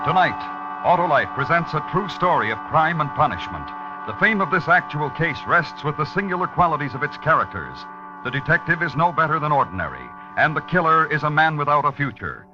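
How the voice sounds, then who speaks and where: Music behind, muffled vintage broadcast, American male announcer, portentous introduction, highish voice. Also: big pitch swings. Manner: portentous, measured. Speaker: radio show announcer. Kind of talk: dramatic 1950s radio program introduction.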